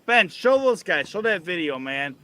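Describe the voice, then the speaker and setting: A man hosting a casual group chat, excitably cutting in with questions. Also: high voice, moderate pace, crowd noise. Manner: excitable, interrupts to ask questions. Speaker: host.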